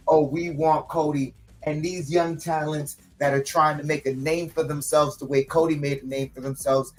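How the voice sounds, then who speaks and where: A man co-hosting a wrestling podcast, blunt and cursing as he argues a point. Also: on the high side. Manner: blunt, swearing. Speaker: podcast co-host. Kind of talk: heated wrestling podcast talk.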